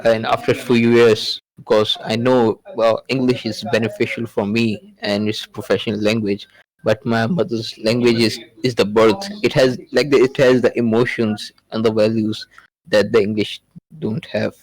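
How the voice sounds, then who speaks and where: A man's voice: fairly low pitch, level tone, moderate pace, low-quality recording. A man whose first language is Urdu, chatting casually in English on a podcast, hesitant, with many fillers and restarts.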